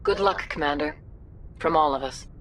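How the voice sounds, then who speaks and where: A woman's voice: low voice, moderate pace, fairly bright tone, a little hiss or hum, rough recording. A woman playing a diplomatic, composed alien councilor in a science fiction game, formal and poised.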